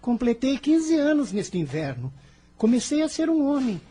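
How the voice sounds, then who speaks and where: Earnest teenage boy played by an adult actor, radio drama, solemn formal diction, soft mellow tone, lively swooping intonation. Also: sits high, normal speed, breathy edge, exaggerated voice. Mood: reassuring, determined. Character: earnest, kind fifteen-year-old boy, humble and protective.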